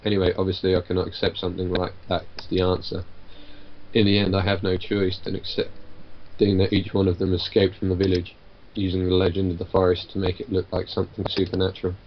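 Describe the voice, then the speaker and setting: A man's voice: low voice, completely flat delivery, brisk, highs cut off, a little hiss or hum. A man co-commentating on a game, in casual chat and reading aloud. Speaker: guest co-commentator.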